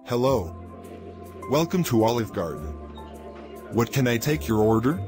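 A man playing a fed-up male crocodile waiter in a homemade skit, blunt and deadpan. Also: mid-range voice, unhurried, over music.

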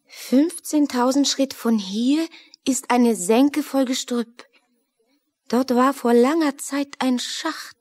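A dignified queen of the mice in a children's radio play. Her voice is high and courtly, unhurried and gracious, in a dry, close sound. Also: a little mellow, no room sound. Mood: helpful. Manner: courtly, formal. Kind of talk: children's audio drama acting.

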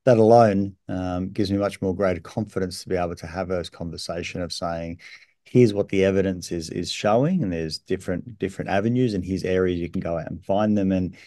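A man's voice: sits low, a little fast, mellow, no echo, studio quality. A man hosting a podcast, asking interview questions over a video call, hesitant with many fillers and repeated words. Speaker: podcast host. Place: indoor room.

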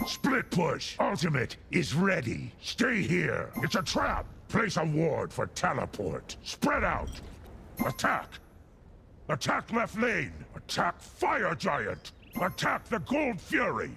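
Gravelly, menacing fire giant warlord. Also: male voice, high voice, sharp tone, a little hiss or hum, exaggerated voice. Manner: commanding, imperious, deliberate delivery. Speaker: video game character voice. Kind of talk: theatrical game voice lines and battle callouts.

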